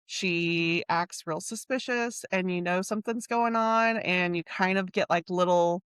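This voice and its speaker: A woman co-hosting a casual book podcast, talking in a rambling way full of fillers and quick laughs. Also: fairly low pitch, a bit bright, clear, resonant tone, dry recording, studio quality. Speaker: podcast co-host.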